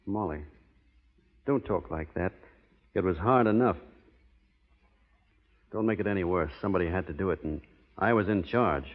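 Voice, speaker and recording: An actor playing a plain-spoken, upright young cowboy with a folksy western drawl in an old radio drama. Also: male voice, sits low, moderate pace, long pauses. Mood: grave, restrained. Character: laconic, upright young cowboy who led a posse. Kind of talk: 1930s radio drama acting. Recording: tinny, echoey old radio broadcast recording.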